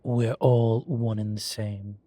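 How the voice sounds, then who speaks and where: A man voices a centuries-old demon professor for a roleplay audio. The voice sits on the low side and moves very slowly, in a close, dry recording. He is genteel, droll and proud, explaining things patiently like a seasoned lecturer. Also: flat delivery, dry recording. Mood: patient lecturing. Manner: unhurried, genteel, gently lecturing. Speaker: professor talking with a student. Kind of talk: scripted roleplay audio, one-sided conversation.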